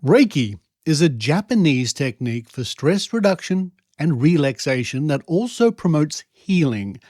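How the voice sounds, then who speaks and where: A man's voice: fairly high pitch, sing-song, measured pace, a little mellow, no echo. Australian man hosting a podcast, dry close sound. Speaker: podcast host. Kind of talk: conversational podcast monologue with read-out passages.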